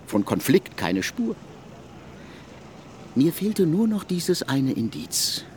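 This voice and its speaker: An actor in a German radio drama playing a scornful criminologist, sarcastic and accusing in a theatrical way. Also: male voice, fairly high pitch, wildly swooping pitch, slow, darkish tone, a little hiss or hum, heavily acted. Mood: indignant, contemptuous. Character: scornful male criminologist confronting a suspected murderer.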